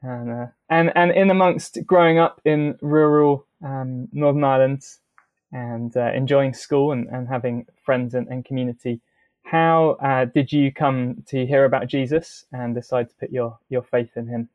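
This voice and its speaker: A man hosting a podcast interview over a video call, relaxed and a little hesitant, with fillers. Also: medium pitch, moderate pace, muffled recording. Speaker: podcast host. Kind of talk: friendly interview.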